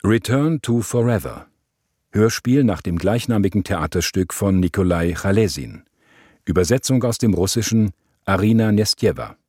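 Low, husky male title announcer. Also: animated, normal speed, a little mellow, no echo, clean studio sound. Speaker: radio play title announcer.

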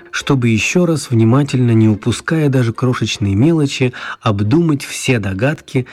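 A male narrator with a slightly husky voice and medium pitch. He tells a scripted children's story expressively, in radio play style. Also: a little mellow.

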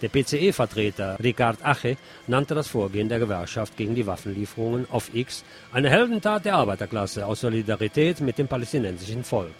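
A man presenting scripted news on community radio, reading in a measured reporting style with the odd dry aside. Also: medium pitch, lively intonation.

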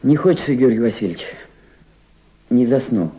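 An actor playing an earnest, respectful young diplomatic aide in a radio play. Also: male voice, medium pitch, lively intonation, normal speed, breathy edge. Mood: respectful, candid. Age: young adult. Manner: reflective diary narration, respectful replies. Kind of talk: Soviet radio play acting. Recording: dull old radio recording. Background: piano music.

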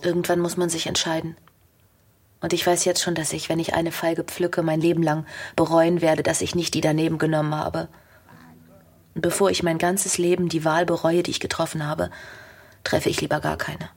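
A woman's voice: deep voice, flat delivery, normal speed, breathy edge. An actress playing a melancholy, restless young woman in a naturalistic radio drama. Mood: anguished, brooding. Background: guitar music.

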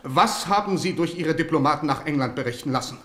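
A man's voice: fairly high pitch, expressive, fast, pushed and bright. A man playing a suspicious, fearful and imperious king in a German radio play. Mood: reproachful. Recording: roomy studio echo.

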